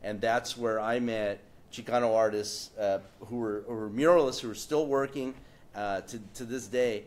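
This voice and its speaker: A man from San Diego speaking as an artist on a panel, telling animated anecdotes with frequent restarts and asides. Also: fairly low pitch, moderate pace. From San Diego, United States.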